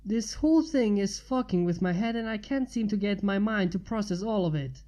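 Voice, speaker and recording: A woman's voice: mid-range voice, lively intonation, normal speed, warm tone, close to an everyday voice. A woman voicing a stressed, urgent woman writer with a secret in a story reading. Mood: exasperated. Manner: urgent, hissing and pleading.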